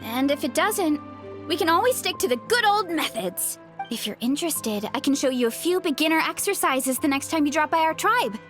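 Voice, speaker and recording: A woman's voice: high voice, animated, normal speed, a bit bright. A woman voicing a cheerful, easygoing young fruit seller in an anime-style video game, friendly and earnest. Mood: friendly, encouraging. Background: game music.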